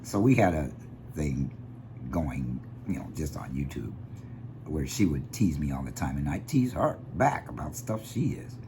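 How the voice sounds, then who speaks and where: A man with a deep bass voice giving an animated, opinionated vlog monologue that drifts off on tangents. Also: measured pace, noisy background. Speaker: vlogger.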